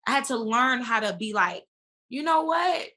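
Bright, high-voiced woman in casual interview. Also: animated, moderate pace. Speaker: interview guest, actress.

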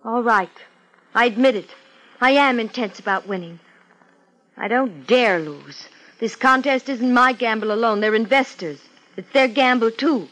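An actress playing an intense, defensive young beauty contestant in a 1950s radio drama, with a theatrical, coy delivery. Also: female voice, on the high side, measured pace, a bit bright, low-quality recording. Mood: intense, confessing.

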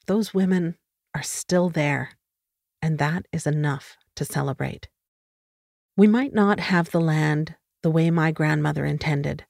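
Low-voiced woman reading an essay. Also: darkish tone, dry recording, clean studio sound. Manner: measured, reflective delivery. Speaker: reader of an essay.

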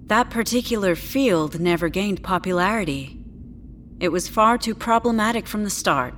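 An actress playing a polite, clinical, tactfully condescending doctor, speaking in a measured, slightly hesitant way in an audio drama. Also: female voice, mid-range voice, expressive, a bit bright, slight background noise, lightly acted. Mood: politely dismissive.

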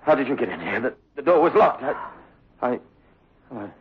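A man's voice: medium pitch, very animated, normal speed, breathy edge. A man playing a frightened, pleading, stammering nephew tormented by guilt in a 1960s radio horror play. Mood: panicked. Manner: stammering, panicky. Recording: muffled old-time radio recording.

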